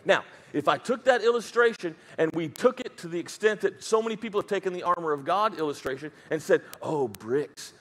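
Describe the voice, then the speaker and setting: A man's voice: high-pitched, lively intonation, fast, hardly any pauses, a bit bright. A man with a Southern US accent preaching a sermon as pastor, emphatic and dropping joking asides.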